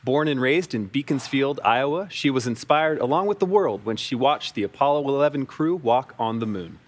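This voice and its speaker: Male co-host, even scripted read of crew bios. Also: mid-range voice, moderate pace. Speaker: broadcast co-host. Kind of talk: reading crew biographies.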